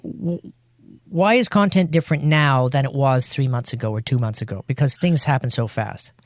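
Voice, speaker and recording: A man's voice: medium pitch, normal speed, no room sound. A man hosting a podcast, chatting loosely and introducing his guest with fillers and restarts. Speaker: podcast host.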